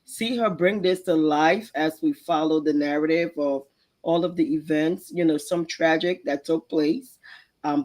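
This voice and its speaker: A man's voice: a little high, a little mellow, rough recording. A man speaking conversationally as the co-host of a talk show. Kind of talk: conversational discussion.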